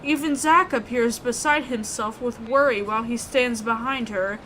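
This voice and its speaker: A woman narrating a horror visual novel in the first person, reading with expressive, suspenseful delivery. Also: on the high side, normal speed, a little hiss or hum, low-quality recording.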